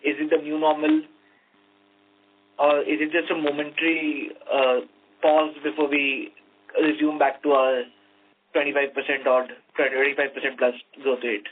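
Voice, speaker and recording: A man's voice: a little high, level tone, moderate pace. A man with an Indian accent asks questions as an analyst on an earnings call, polite and often rephrasing as he goes. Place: conference call. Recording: narrow phone line with faint noise and hum.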